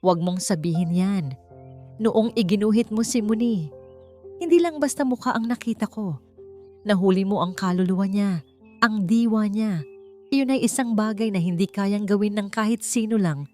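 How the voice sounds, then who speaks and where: A woman narrator voicing a gentle, understanding single father who owns a café in a romance story, quiet and measured. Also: medium pitch, faint music behind, voice pushed up, natural voice. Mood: tender, reassuring.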